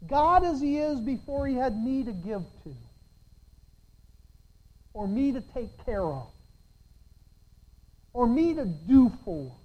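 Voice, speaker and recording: A woman preaching a sermon, quoting scripture with emphatic, dramatic pauses and swings from hushed to loud. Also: high-pitched, rough recording. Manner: repeats phrases for emphasis.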